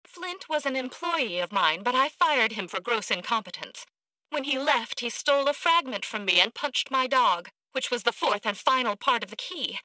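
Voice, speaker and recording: An actress voicing an eccentric, arrogant woman scientist in a video game, blunt and socially awkward, with clipped, precise, deadpan delivery. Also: fairly high pitch, normal speed, no room sound, poor audio. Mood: deadpan, impatient.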